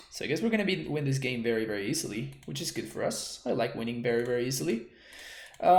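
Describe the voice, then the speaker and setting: A man's voice: a little high, reverberant, slight background noise. Argentine man casually commentating his gameplay. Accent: Argentine Spanish. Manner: relaxed, chatty, reads out and thanks viewers' chat messages. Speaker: streamer.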